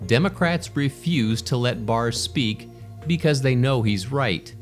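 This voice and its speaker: A man reading scripted news narration as a newsreader. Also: mid-range voice. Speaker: news reader. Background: background music.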